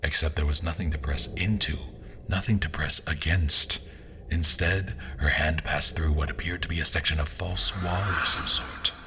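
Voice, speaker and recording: Guy doing a deep bright formal noble adventurer telling his tale, measured and wry, audio drama with a bit of ambience. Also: steady tone, a little hiss or hum. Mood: measured, brooding. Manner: formal, deliberate, storyteller delivery. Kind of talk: first-person scripted narration in an audio drama.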